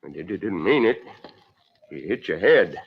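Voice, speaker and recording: A man's voice: sits low, big pitch swings, normal speed, vocal fry, breathy edge, heavily acted. An actor playing a surly, evasive American farmer and father in an old-time radio drama, muttering terse fragments and barking orders. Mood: panicked, muttering. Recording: muffled, noisy old radio recording.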